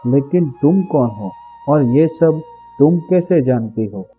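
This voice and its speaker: Man as an earnest young villager, slow delivery. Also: on the high side, expressive, narrow, tinny audio, faint music behind. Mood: puzzled. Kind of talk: Hindi cartoon story dialogue.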